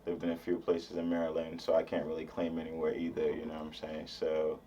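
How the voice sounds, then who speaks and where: A man's voice: extremely low pitch, completely flat delivery, brisk, mellow. A young man from Nigeria answering interview questions in a casual, rambling way with slang fillers. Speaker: guest musician. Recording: distant, slightly muffled mic with faint background noise.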